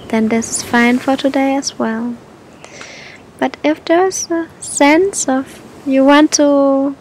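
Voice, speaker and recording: A woman guiding a meditation in a gentle, soothing manner, speaking to the listener with long, unhurried pauses. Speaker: meditation guide. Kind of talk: guided meditation, spoken softly to the listener. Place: outdoors on a beach. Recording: outdoor recording with low rumbling noise.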